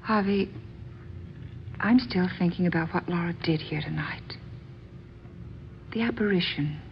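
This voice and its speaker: Earnest low-voiced woman, old radio. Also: a little slow, creaky. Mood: tender, anxious. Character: earnest, awestruck bereaved daughter and tender secret lover. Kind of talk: old-time radio drama acting. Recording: dull, noisy old radio drama recording.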